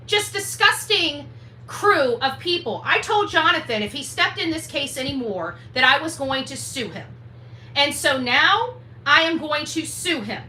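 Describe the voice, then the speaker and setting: A woman's voice: high voice, pushed and bright. A woman hosting a livestream rant in an indignant, combative, emphatic way, repeating herself for force. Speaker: livestream host. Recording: roomy echo, faint background noise.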